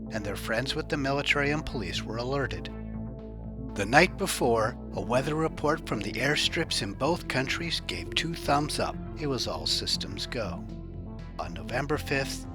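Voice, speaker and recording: A man narrating a scripted true-crime news report as its host, in a wry, colloquial storytelling manner with dramatic pauses. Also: mid-range voice.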